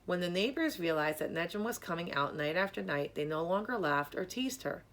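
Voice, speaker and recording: A woman reading a children's story aloud in a measured, expressive storytelling manner. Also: low voice. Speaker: storybook reader. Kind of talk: scripted narration, children's story read aloud.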